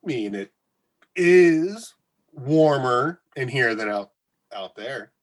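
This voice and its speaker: A man playing an awkward, sarcastic radio colleague with a husky voice and halting delivery in a comedy radio drama. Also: medium pitch, animated. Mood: nervous, hesitant. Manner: halting, hesitant, stammering.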